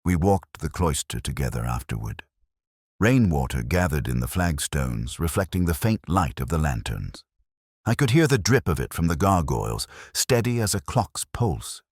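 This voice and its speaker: A man as a very deep-voiced, brooding ageing detective. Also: sing-song, husky, dry recording, clean studio sound, heavily acted. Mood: brooding, reflective. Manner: measured, reflective, solemn. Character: ageing, melancholy male detective, wry and brooding. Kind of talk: literary first-person radio drama narration.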